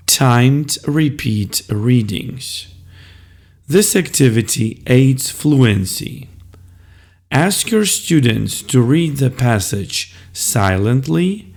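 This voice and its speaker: A male teacher explains classroom reading methods at an unhurried, deliberate pace. His pitch moves animatedly up and down, with a gravelly touch on low notes. The sound carries a roomy echo. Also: darkish tone. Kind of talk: instructional video talk.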